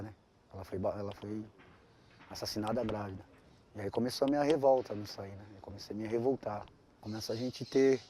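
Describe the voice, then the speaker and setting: Halting São man in his forties. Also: medium pitch, dark tone, slight background noise. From São Paulo, Brazil. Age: 40s. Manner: halting, restarts phrases, frequent tag questions. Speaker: interviewee. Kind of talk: documentary interview, personal story.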